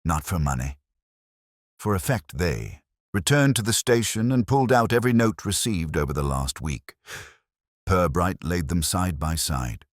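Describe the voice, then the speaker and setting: Male story narrator, very deep register, measured and wry, expressive intonation. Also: dry recording, clean studio sound. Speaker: narrator of audio mystery story.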